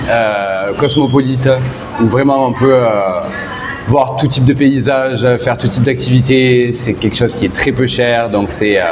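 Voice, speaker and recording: A man's voice: medium pitch, noisy background. Guy in his 20s from southern france, laid back, lots of umms, echoey street sound. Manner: relaxed, enthusiastic, many hesitations. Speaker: interviewee. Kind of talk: casual street interview.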